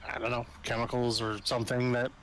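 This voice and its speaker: A man's voice: medium pitch, completely flat delivery, a little fast, fairly bright tone. A man playing a tabletop game, casually thinking aloud in hesitant fragments. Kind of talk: casual group game chat. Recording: faint background noise.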